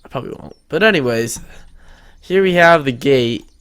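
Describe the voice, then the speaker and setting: A man's voice: mid-range voice, animated, normal speed, fairly bright tone, clean tone. Stuffy-nosed teen guy narrating a tutorial. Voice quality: slightly congested. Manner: chatty, rambling, thinks aloud while building. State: stuffy nose from allergies. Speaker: game tutorial host. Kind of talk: casual screen-recorded tutorial.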